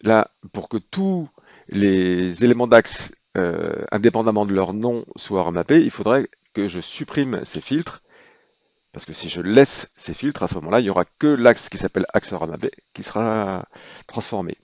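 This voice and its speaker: A man calmly walking through a software procedure in a tutorial, pausing often with hesitations as he explains each step. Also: lowish voice, no room sound, muffled recording. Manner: unhurried, explanatory, frequent hesitations. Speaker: software trainer. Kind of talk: screen-recorded software tutorial.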